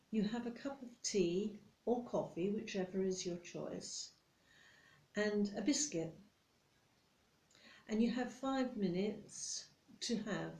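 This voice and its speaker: A woman plays a nitpicking British office boss in an ASMR roleplay. She is stern and condescending, spelling out the rules slowly and deliberately in a relaxed, mellow voice. The room adds a noticeable echo. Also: medium pitch, slight background noise. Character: strict, nitpicking female office boss telling off a new employee. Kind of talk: ASMR roleplay monologue addressed to the listener. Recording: roomy echo.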